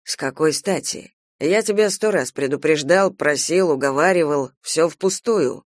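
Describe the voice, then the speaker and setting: A man's voice: on the high side, unhurried, no room sound, clean studio sound, natural voice. A man voicing a weary, well-off uncle who grumbles in a restrained, reproachful way. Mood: exasperated.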